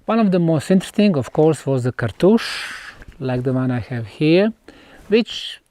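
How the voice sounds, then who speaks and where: A man giving an unscripted explanatory lecture as a researcher, speaking emphatically like a storyteller and pausing between short phrases. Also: on the high side, lively intonation, mellow. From Bosnia. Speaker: researcher presenting an archaeological site.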